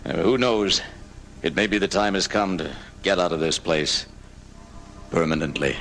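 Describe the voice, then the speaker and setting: A pensive, low-voiced man in a 1980s radio play, music clearly underneath. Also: moderate pace. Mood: pensive, resigned. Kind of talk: radio drama acting. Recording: old radio broadcast tape with music.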